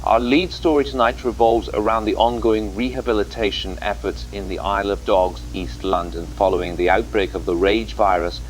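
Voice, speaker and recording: A man's voice: lowish voice, low-quality recording. A man presenting a scripted TV news report as anchor, in a grave, formal manner.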